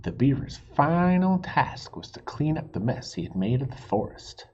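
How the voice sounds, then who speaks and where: A man reads a children's storybook aloud expressively, pausing for simple asides and questions to young listeners. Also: mid-range voice, big pitch swings, darkish tone, husky, muffled recording. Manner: asks the listeners questions, explains words simply.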